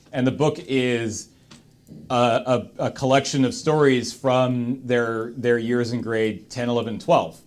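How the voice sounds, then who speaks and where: A man hosting a panel in casual chat, thinking aloud in halting, broken fragments. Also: medium pitch. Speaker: host of a charity stream panel.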